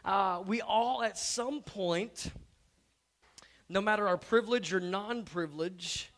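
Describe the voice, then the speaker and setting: Pastor, male, 30s, hoarse, slightly higher voice, casual stop-start sermon delivery that swells to emphasis. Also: slow, fairly bright tone. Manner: conversational, stumbling restarts, rising to emphatic. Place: church stage before an audience.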